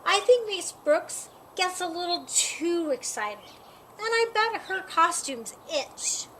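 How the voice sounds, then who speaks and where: A woman reading a children's picture book aloud in an expressive, deliberate way. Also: extremely high pitch. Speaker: storytime reader. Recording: clearly noisy, with birdsong behind. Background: birds chirping.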